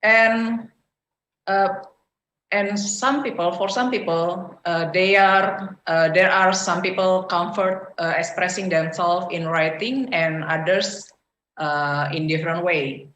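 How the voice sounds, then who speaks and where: A woman's voice: lowish voice, takes it slowly, a bit bright, reverberant, a little hiss or hum, poor audio. A woman with an Indonesian accent giving a prepared speech at an online club meeting, hesitating often with "uh" fillers. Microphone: video call.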